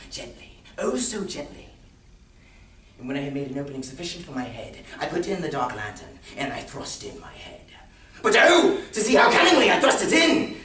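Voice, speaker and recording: A man playing a nervous, gleeful madman confessing to murder in a theatrical stage recitation, swinging between hushed and manic delivery. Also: medium pitch, pitched above the performer's own voice. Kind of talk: dramatic solo stage recitation of a gothic story. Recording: roomy, distant stage recording with noise.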